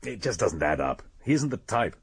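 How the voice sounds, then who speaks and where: Radio detective role: English inspector, methodical, husky mid-pitched voice, close dry sound. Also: male voice, sing-song, no room sound, heavily acted. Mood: musing, businesslike. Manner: measured, probing. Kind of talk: British radio drama acting.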